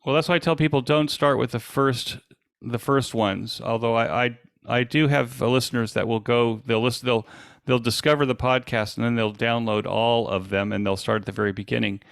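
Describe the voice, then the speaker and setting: A man with a gravelly voice answering questions informally as a presenter, explaining things with frequent hesitant pauses. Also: mid-range voice, steady tone, a bit bright, no echo, clean studio sound. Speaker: presenter and podcast host.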